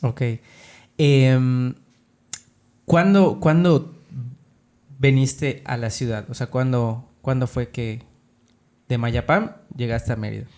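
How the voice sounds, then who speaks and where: A man interviewing a guest, asking relaxed, halting questions and giving short acknowledgements. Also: mid-range voice. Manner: brief, relaxed questions and backchannels. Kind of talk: casual interview conversation.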